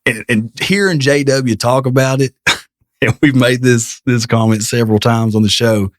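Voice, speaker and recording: A man, a podcast guest, chatting in a lively, casual way, often saying "you know" and "like". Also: mid-range voice, normal speed. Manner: animated, fond of "you know" and "like". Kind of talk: casual chat.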